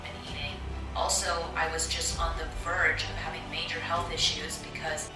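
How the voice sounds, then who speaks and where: Woman, low voice, reflective confessional voice-over, music under, echoey. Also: level tone, normal speed.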